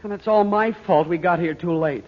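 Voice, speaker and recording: A male actor playing an eager, anxious boy in a 1940s radio adventure, earnest and excitable. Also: high voice, normal speed, close to an everyday voice. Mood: distraught, guilty. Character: eager, anxious boy searching for his lost father. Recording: muffled old radio recording, faint noise.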